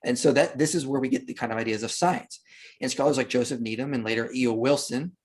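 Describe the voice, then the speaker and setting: A man's voice: mid-range voice, quick pace, slightly rough. A man speaking as a podcast guest, explaining history and religion in a conversational way and repeating phrases for emphasis.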